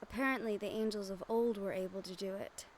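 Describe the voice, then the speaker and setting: A woman playing a gentle, earnest young magic-wielder in an audio roleplay, soft-spoken and measured with thoughtful pauses. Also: medium pitch, fairly even intonation, a little hiss or hum, lightly acted. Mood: wistful, explaining. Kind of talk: audio roleplay acting, addressed to the listener. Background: ambient sound effects.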